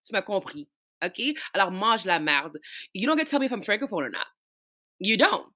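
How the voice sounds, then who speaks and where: A woman's voice: mid-range voice, big pitch swings, a little fast, pushed and bright. A young woman from Canada co-hosting a casual podcast chat, talking with passion, swearing freely and ranting at length. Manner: impassioned, profane, ranting. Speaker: podcast co-host.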